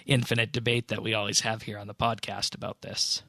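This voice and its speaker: A man's voice: on the low side, level tone, a little fast, creaky, pushed and bright, dry recording. A man hosting a casual podcast discussion, talking things through as he thinks aloud. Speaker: podcast host.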